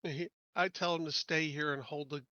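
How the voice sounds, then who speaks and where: Bright-voiced man playing a tabletop game. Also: fairly high pitch, fairly even intonation, moderate pace, no echo, muffled recording. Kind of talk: casual game chat.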